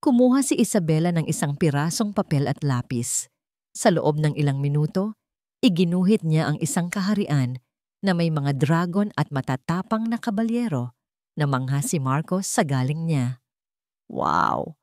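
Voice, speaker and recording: A woman's voice: low voice, animated, normal speed, mellow, no room sound, studio quality. A woman with a Filipino accent narrating a dramatic scripted story.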